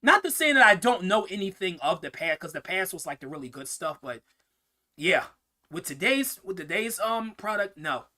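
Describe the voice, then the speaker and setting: A man's voice: sits high, big pitch swings, moderate pace, pushed and bright. A young man hosting a casual live-stream Q&A, answering viewer questions in a rambling way, often repeating words and restarting sentences.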